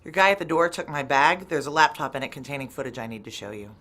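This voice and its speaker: A woman's voice: deep voice, animated, fast talker, a bit bright. A woman playing a tough, guarded ex-Army Ranger in a noir audio drama, with a blunt, terse, hard-boiled delivery. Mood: businesslike. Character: tough, guarded female ex-Army Ranger leader plotting a heist. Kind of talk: noir audio drama, first-person narration and dialogue.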